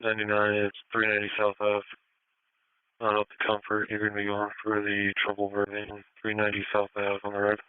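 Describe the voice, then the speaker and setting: A man's voice: fairly low pitch, monotone, a little fast, smooth. A man working as an ambulance dispatcher, giving matter-of-fact, procedural instructions over a two-way radio. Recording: thin narrowband scanner radio.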